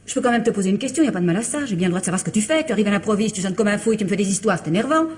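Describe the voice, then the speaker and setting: An actress playing an exasperated, scornful younger wife in a French radio mystery, theatrical and reproachful. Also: female voice, mid-range voice, animated, very fast, a little mellow, natural voice. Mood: defensive, irritated.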